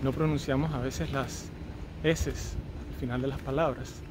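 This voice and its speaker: A man's voice: mid-range voice, fairly even intonation, a little slow. A man in his thirties with a Cuban Spanish accent, chatting casually with joking asides while walking down a residential street, recorded on a phone held on a selfie stick. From Cuba. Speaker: vlogger. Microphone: phone on a selfie stick at arm's length. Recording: outdoor street noise. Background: street traffic and outdoor ambience.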